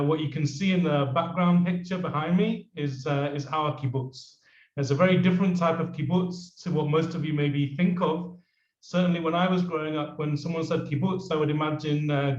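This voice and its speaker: A man's voice: a little high, a little mellow. A middle-aged man from England with an English accent, giving a casual talk as a guest speaker on a video call, with many fillers and restarts. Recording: roomy, dull lo-fi video call.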